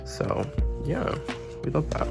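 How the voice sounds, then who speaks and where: A man with a sore throat and a hoarse, sick voice, chatting casually as he unboxes and reviews a card game deck. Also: slow. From Canada. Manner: chatty, gushing over cute packaging. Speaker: trading card game YouTuber. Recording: music playing underneath.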